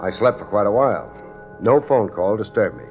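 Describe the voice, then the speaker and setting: An actor playing a cool, wry private investigator who leads and narrates a 1950s radio detective drama in a laconic, casual way. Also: male voice, lowish voice, big pitch swings, brisk. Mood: dry narration. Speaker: lead and narrator. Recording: muffled 1950s radio recording, music.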